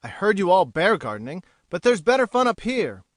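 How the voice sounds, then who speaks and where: A woman reading an audiobook, voicing a smug, teasing young gentleman who talks in an arch, lofty way. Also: medium pitch, sing-song, fairly quick, dry recording, studio quality, close to an everyday voice. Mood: gleeful. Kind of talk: audiobook character voice.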